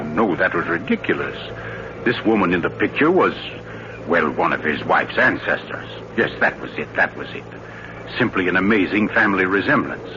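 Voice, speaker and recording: An actor playing a suave, theatrical mystery writer who tells a sinister tale in an urbane, deliberate, dramatic 1940s radio style. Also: male voice, low voice, noisy background. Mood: agitated, musing. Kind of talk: 1940s radio thriller acting. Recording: muffled old radio recording with music.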